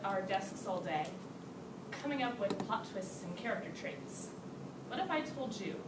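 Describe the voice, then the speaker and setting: A young woman, a student and writer, giving an earnest prepared speech to a class with rhetorical questions. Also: medium pitch. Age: young adult. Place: classroom, recorded from a distance. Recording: roomy, distant, loud background noise.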